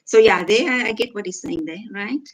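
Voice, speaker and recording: A woman talks casually to her viewers. Her voice sits at an ordinary middle pitch. She reads out comments and answers them point by point with a sarcastic edge. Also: normal speed, rough recording. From South Africa. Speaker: vlogger reacting to comments.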